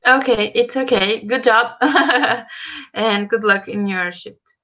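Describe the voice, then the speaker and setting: Woman host, higher voice, friendly short replies, muffled call audio. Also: slow pace, pushed and bright. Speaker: host of an online meetup. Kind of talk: casual chat. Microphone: video call. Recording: dull, lo-fi video-call audio.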